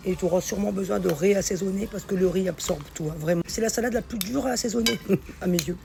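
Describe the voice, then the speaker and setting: A hoarse woman chatting familiarly as she cooks, calling the viewer pet names. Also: medium pitch, quick pace, dark tone, slight background noise. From northern France. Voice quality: gravelly. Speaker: home cook. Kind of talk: casual cooking vlog chat. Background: background music.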